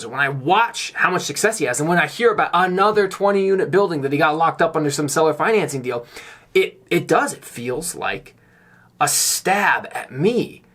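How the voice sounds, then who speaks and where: A slightly hoarse man giving a candid, earnest vlog monologue, speaking emphatically as someone a little under the weather. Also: fairly high pitch, fairly bright tone. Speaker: YouTuber on real estate investing.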